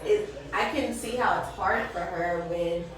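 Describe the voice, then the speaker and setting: A woman's voice: on the low side, unhurried, a crowd in the background. A woman hosting an interview in casual, slangy, chatty talk. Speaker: interviewer and host. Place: echoey room at a mixer event. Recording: very echoey room, distant mic, faint noise.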